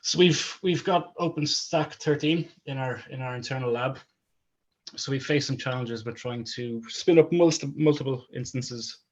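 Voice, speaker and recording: A male technical presenter talks informally over a dull, lo-fi video call. His pitch sits in the middle of the male range. He tends to stumble and repeat the starts of phrases. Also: moderate pace. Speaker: technical presenter, DevOps consultant.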